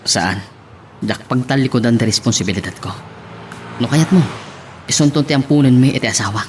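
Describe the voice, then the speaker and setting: A man with a Filipino accent playing a calm, earnest, reassuring lover in an amateur audio drama, with noise behind him. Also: mid-range voice, a little fast, natural voice. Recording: steady background noise.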